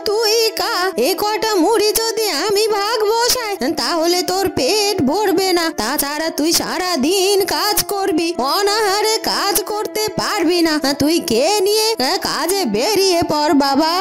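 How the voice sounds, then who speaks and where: A woman's voice: very high voice, a little slow, few pauses. A woman voicing a fretful, caring old village mother in a cartoon, scolding and coaxing her son. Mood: tender, insistent. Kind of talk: cartoon voice acting. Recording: music behind the voice.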